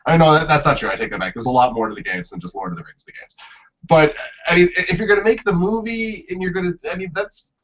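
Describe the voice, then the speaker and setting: A man chatting casually as a podcast panelist, halting and correcting himself as he thinks aloud. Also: sits high, vocal fry, fairly bright tone. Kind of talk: casual chat. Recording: dull lo-fi podcast audio.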